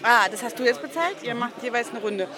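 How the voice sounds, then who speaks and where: A woman chatting casually and a little haltingly in a field recording. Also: on the high side, expressive. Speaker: documentary author approaching strangers. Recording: location recording, loud crowd and music. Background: crowd, music.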